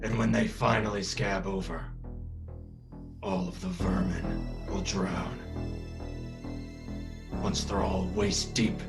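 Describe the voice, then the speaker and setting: A man's voice: deep voice, fairly even intonation, slow, gravelly, pauses often, over music, exaggerated voice. An actor playing a grim, misanthropic masked vigilante, narrating his journal in a terse, ominous dramatic monologue. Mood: grim, contemptuous.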